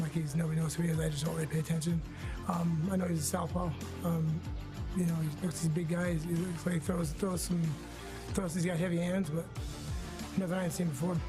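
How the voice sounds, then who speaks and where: A man's voice: a little high, flat delivery, fairly quick, over music, rough recording. A man from Arizona, a veteran fighter giving a pre-fight interview, hesitant and full of fillers. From Arizona, United States.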